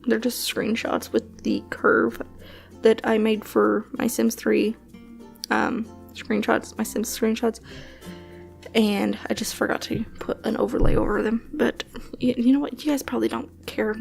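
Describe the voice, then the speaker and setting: Young female vlogger, sniffly, rambling chatty voice-over, fry-heavy, soft music bed. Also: fairly high pitch, flat delivery, moderate pace. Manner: rambling, chatty, lots of fillers and soft laughs. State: sniffly from allergies. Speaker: gaming channel host. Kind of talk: casual voice-over commentary on a building game.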